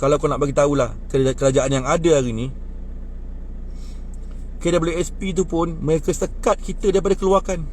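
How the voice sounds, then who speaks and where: A man's voice: fairly quick, long pauses, slight background noise. A man in his twenties with a Malaysian Malay accent, talking indignantly about politics in a casual outdoor chat by a bus stop. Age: 20s. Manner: animated and indignant, rising in pitch when worked up. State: short on sleep. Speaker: young man airing political views. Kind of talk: casual street chat, speaking to others nearby.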